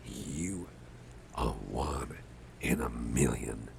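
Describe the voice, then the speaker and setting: A man playing a big, gruff but caring boss in an ASMR roleplay, murmuring gently with a gravelly, often breathy voice and soft hesitations. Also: extremely low pitch, expressive, drawn-out words, slight background noise, exaggerated voice. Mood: affectionate. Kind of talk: ASMR personal-attention roleplay.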